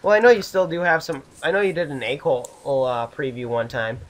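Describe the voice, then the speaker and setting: A man's voice: fairly high pitch, fairly even intonation, a little fast, crowd noise. A man hosting a casual gaming video, rambling and upbeat as he tours a build with a friend. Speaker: channel host.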